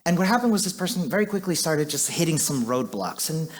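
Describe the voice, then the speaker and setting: Man from Chile on a conference stage, slightly high voice, chatty and self-deprecating, lots of "like" and "um". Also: lively intonation, a little fast. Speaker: conference speaker. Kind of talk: conference talk.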